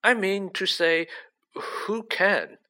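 A man's voice: high-pitched, takes it slowly, bright, forceful tone, pitched above the performer's own voice, exaggerated voice. A man voicing an anxious, flustered older government official in a storybook, stammering and blustering. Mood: alarmed, flustered.

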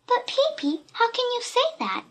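A woman voicing an anxious, excitable young girl in a children's storybook. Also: on the high side, normal speed, husky, heavily acted. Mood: excited, alarmed.

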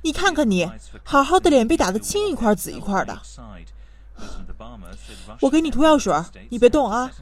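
A woman's voice: sits high, big pitch swings, brisk, long pauses, darkish tone. A woman acting in a radio drama as a protective mother, chiding and fussing but tender. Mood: scolding. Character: scolding yet tender, protective mother of a young son.

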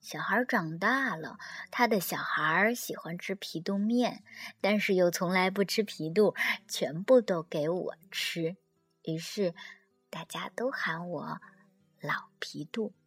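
Woman voicing a hushed, breathy old male dog. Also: on the low side, slow, soft background music, voice pushed down, heavily acted. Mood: wistful. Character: very old male golden retriever, sly but resigned.

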